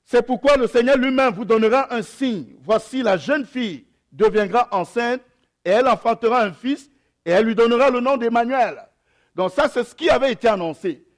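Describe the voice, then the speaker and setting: A young adult man, a pastor whose first language is an African mother tongue, reads scripture slowly and then preaches with hesitations and repeated phrases. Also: very high voice. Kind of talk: sermon.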